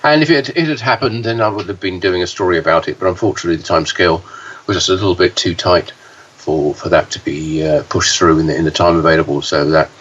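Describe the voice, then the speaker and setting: A man with a low, hoarse voice chats on a podcast, halting and restarting as he goes. He sounds set back from the mic, and the sound is dull with a faint hiss. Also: steady tone, fairly bright tone, far from the mic. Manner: halting, many fillers and restarts. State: hoarse, deeper voice than usual. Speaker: podcast co-host, pinball news reporter. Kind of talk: casual podcast chat.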